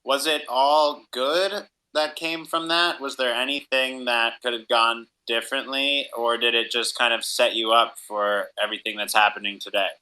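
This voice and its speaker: Very bright-voiced male interviewer. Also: mid-range voice, steady tone, normal speed, few pauses, low-quality recording. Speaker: podcast host, interviewer. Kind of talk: casual chat.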